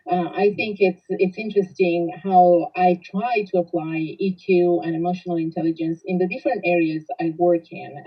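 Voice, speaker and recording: A woman's voice: lowish voice, dull audio. A woman from Italy with an Italian accent, a coach introducing herself on a podcast and hesitating with many fillers.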